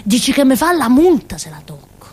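An actress playing a naive, chatty village fool in a theatrical monologue, with a southern Italian accent and a rambling, giggly way of talking. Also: female voice, sits high, sing-song, a little fast, a little mellow, breathy edge, full character voice. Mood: dreamy, fascinated. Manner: rambling, giggly, with frequent interjections and tag questions.

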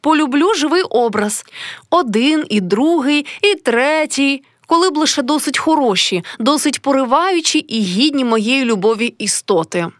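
A woman's voice: high voice, expressive, normal speed, no echo, clean studio sound, pitched above the performer's own voice. A woman voicing a passionate, proud young female artist with an intense, dramatic delivery, as in an audiobook story. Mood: disdainful.

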